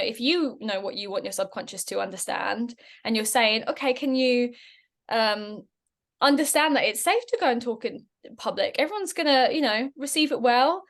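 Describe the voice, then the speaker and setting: A woman's voice: a little high, a bit bright. A woman co-hosting a podcast, talking in an encouraging way and often closing with affirming questions. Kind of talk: casual conversational podcast.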